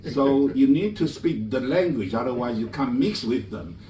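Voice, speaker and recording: A man's voice: mid-range voice, expressive, moderate pace, mellow. A man from Malaysia hosts a book launch, telling anecdotes in a chatty way with slightly non-native grammar. His mid-range voice is picked up from a distance, and the room echoes. A faint hum sits behind it. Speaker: master of ceremonies. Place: bookshop event room. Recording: roomy, from a distance.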